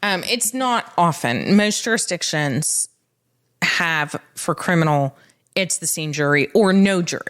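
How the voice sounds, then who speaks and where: American woman, lowish voice, podcast legal explainer, matter of fact, walks you through examples. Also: expressive, unhurried, a bit bright. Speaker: podcast co-host, legal expert. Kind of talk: casual legal explainer chat.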